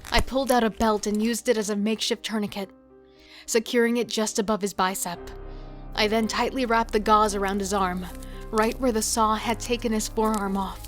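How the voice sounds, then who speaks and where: A woman's voice: mid-range voice, steady tone, normal speed, faint music behind, close to an everyday voice. A woman playing a wary, devoted female killer in a horror audio drama, telling and living the story with tense emotion. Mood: grim, tense. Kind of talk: first-person dramatic narration and horror audio drama dialogue.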